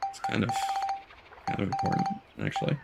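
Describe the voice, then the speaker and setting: A man's voice: brisk, over loud music. A man streaming a game, talking in a casual, conversational way. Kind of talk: casual gameplay chat.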